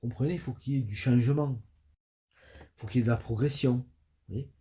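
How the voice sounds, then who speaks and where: A man with a cold and a stuffy nose, talking as a spiritual coach in a halting audio monologue full of fillers and restarts. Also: mid-range voice, mellow. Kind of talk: hesitant spoken audio monologue.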